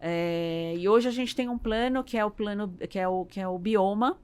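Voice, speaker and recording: A woman's voice: mid-range voice, normal speed, a little mellow, clean tone. A Brazilian woman speaking as a business owner in a conversational interview, searching for words and often adding tag questions. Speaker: podcast guest, business owner.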